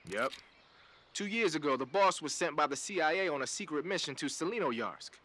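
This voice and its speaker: A man's voice: fairly high pitch, expressive, normal speed, fairly bright tone. A man playing a talkative male radio support tech in a game cutscene, with casual, slangy banter. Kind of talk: video game cutscene acting.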